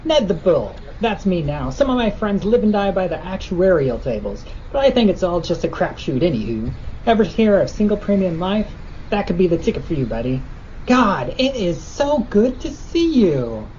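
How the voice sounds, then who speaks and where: An overfriendly, goofy male salesman , acted out by a man in an amateur drama. His mellow voice bounces up and down as he pushes his pitch. There is faint noise behind him. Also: very animated, normal speed. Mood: chummy, pushy. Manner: glib, chummy, exaggerated. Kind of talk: amateur movie scene reenactment. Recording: dull audio with faint background noise.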